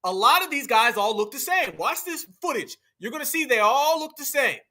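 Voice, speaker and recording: A man with a Southern American accent hosts a reaction commentary in a heated, mocking tone. His voice sits very high, with a sharp, tense brightness. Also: lively intonation, moderate pace. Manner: heated, mocking, addresses viewers as "y'all" and "fam". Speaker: commentary host reacting to clips.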